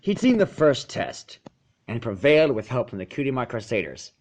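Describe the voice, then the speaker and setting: Male storyteller, mid-pitched and highly animated, dramatic emphasis, expressive read-aloud narration. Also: moderate pace. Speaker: fan fiction narrator.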